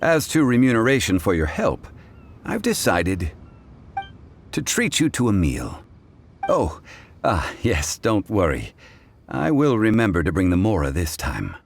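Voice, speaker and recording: Refined game gentleman, low-pitched, expressive intonation, formal, measured, scholarly, calm. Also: gravelly, a little hiss or hum, heavily acted. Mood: composed, musing. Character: refined, scholarly gentleman, calm and absent-minded about money. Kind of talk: video game dialogue acting. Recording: music playing behind. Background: background music.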